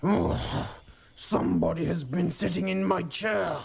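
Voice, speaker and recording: A woman's voice: fairly low pitch, a little slow, a little mellow, full character voice. A woman voicing a big grumpy koala father with a growly, grumbly voice in a read-aloud storybook. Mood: bellowing. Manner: bellowing, gruff storybook voice.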